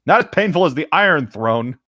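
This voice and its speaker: A man casually reading a story aloud, pausing hesitantly to add chatty, joking comments. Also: a little high, lively intonation, pushed and bright, no echo, studio quality. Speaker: co-host reading and riffing on fan fiction.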